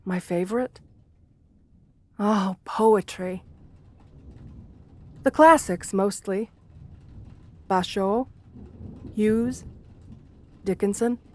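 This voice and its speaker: A woman's voice: mid-range voice, extremely slow, pauses often, a little mellow. A woman playing a shy, sweet, bookish gargoyle in a romantic ASMR roleplay, speaking hesitantly with polite, old-fashioned formality. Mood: gentle, wistful.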